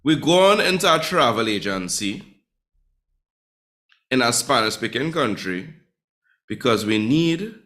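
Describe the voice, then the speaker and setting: A man runs a live online Spanish class. He talks playfully, teasing his students and calling on them by name. His voice sits at an ordinary, mid-range pitch. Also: expressive, measured pace, long pauses, a bit bright. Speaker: Spanish teacher.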